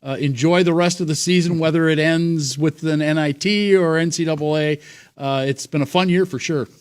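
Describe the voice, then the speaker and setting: A man's voice: fairly high pitch, steady tone, normal speed, smooth. Man hosting a sports talk show. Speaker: show host. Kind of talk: casual sports talk interview.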